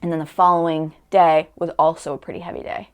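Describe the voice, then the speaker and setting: A woman's voice: deep voice, flat delivery, fast talker, clear, resonant tone. A woman in her twenties, a guest actor talking casually on a podcast, chatty and full of restarts and fillers. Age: 20s. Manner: chatty, trailing restarts and many likes.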